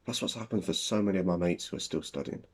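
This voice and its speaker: Casting: man playing disillusioned British graduate, twenties, very low husky voice, anxious, short-film diary. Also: fast talker. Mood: resigned, bitter. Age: 20s.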